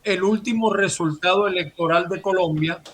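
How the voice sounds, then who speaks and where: A man's voice: high voice, normal speed, fairly bright tone, a little hiss or hum. A man from Venezuela hosting a political talk show, formal and broadcast-like as he greets listeners and questions a guest. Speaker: host.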